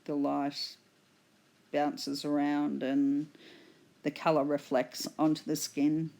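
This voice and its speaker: A woman with an Australian accent, hoarse from a cold, patiently explaining her painting steps in a tutorial voice-over. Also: low-pitched, monotone, mellow. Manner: slow, patient explaining with fillers. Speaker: art instructor.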